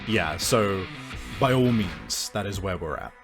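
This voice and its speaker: A man's voice: low-pitched, animated, measured pace, music in the background. A man acting as game master, narrating a tabletop role-playing game with vivid, dramatic description and rules talk.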